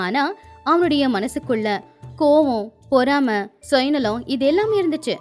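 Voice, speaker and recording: A woman narrating a scripted moral story expressively. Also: high voice. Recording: music behind the voice.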